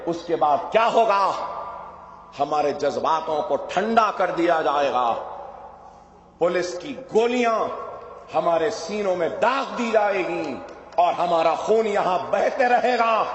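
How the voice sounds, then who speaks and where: Fiery high-voiced man orating. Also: lively intonation. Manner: impassioned, rhetorical questions, rising to shouts. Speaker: political speaker. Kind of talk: fiery political rally speech. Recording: echoey hall sound, dull lo-fi.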